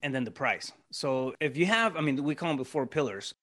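A man's voice: mid-range voice, lively intonation, fairly quick, a bit bright. A man with a Mexican Spanish accent, a native Spanish speaker, talking as a podcast guest and explaining his business step by step with frequent tag questions. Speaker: podcast guest, real estate investor. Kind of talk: casual interview talk.

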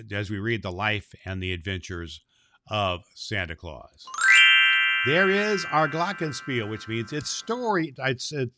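A man's voice: fairly low pitch, noisy background. A man presenting as Santa Claus, speaking affectionately and in an avuncular way to young listeners. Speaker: host of a children's Christmas podcast, presenting as Santa Claus. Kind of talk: storybook reading and friendly address to children.

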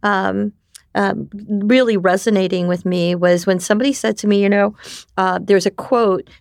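A middle-aged woman from New York, whose first language was Japanese, telling her life story in a candid, animated way and often tagging on "right?". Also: mid-range voice, moderate pace, gravelly, no room sound, studio quality. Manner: animated, frequent restarts and "right?" tags. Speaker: podcast guest.

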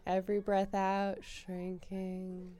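Very slow-paced woman leading guided meditation. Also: medium pitch, completely flat delivery, dark tone, a little hiss or hum. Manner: soothing, unhurried instruction with pauses.

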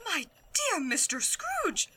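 An excitable, genteel charity lady in a radio drama. Her high voice swings wildly as she exclaims. Heavy noise sits on the recording. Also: takes it slowly, heavily acted. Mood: amazed. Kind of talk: theatrical radio play acting.

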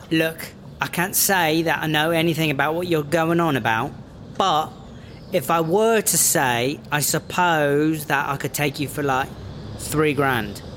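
Higher-pitched wary British rapper played by a man. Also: slow pace, fairly bright tone, slight background noise. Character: wary, sly British rapper moonlighting as smuggler. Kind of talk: comedic audio drama acting.

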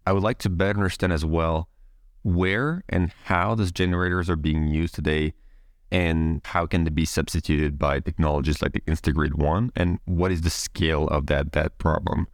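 A man hosting a podcast, reading a scripted introduction and then asking interview questions in measured, formal phrasing. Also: rumbling low, fairly even intonation, no echo, clean studio sound. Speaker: podcast host.

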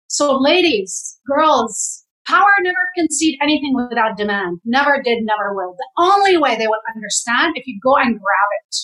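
Woman, from Jerusalem, guest, fervent and exhorting, repeats for emphasis, somewhat high voice, roomy echoey sound. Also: expressive, normal speed, hardly any pauses, fairly bright tone. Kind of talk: impassioned advice in an interview. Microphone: camera. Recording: echoey room.

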